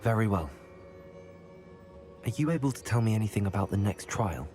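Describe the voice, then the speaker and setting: Figure: a man playing an earnest young wizard student. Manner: polite, plain. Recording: soft music. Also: lowish voice, expressive, normal speed, close to an everyday voice. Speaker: player character. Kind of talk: game cutscene acting. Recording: faint music behind.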